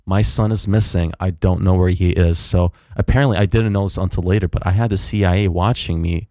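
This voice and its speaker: A young man with a low, mellow voice tells his story in a relaxed, wandering way, full of fillers and restarts. The sound is dry and close, with no room echo. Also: a little fast. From United States. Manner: rambling, many fillers and restarts. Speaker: guest. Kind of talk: casual interview storytelling.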